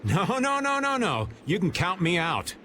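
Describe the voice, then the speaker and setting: An actor playing a cocky, whiny middle-aged rogue in a video game, theatrical and complaining, with an American accent. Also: male voice, sits high, huge pitch swings, normal speed, background noise. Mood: whiny, alarmed.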